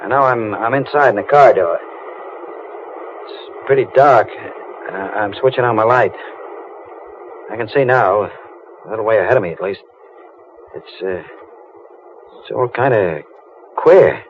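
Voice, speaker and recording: A troubled deep-sea diver from an old radio drama, played by a male actor. His voice sits on the low side, with a halting, brooding, hard-boiled delivery. The recording is muffled and clearly noisy. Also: close to an everyday voice. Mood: uneasy, halting.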